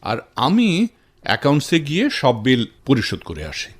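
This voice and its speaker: A man's voice: medium pitch, huge pitch swings, normal speed, breathy edge. An actor playing a considerate, practical male relative who talks politely and reassuringly in a Bengali radio drama. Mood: practical, steady.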